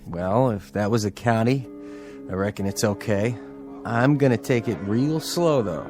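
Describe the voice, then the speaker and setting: An actor playing a plain-spoken, cautious American bus driver in a radio drama, with a folksy manner. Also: male voice, fairly low pitch, unhurried, close to an everyday voice. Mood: wary. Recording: music bed under the dialogue.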